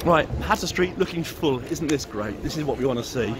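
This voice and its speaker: A man's voice: a little high, expressive, brisk. An English man filming a casual walking vlog at an outdoor market on a gimbal camera, chatty and jokey, chuckling as he chats with stallholders. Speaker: vlogger touring a Christmas market. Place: busy outdoor Christmas market street. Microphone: handheld camera on a gimbal. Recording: crowd noise of a busy street market. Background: crowd chatter.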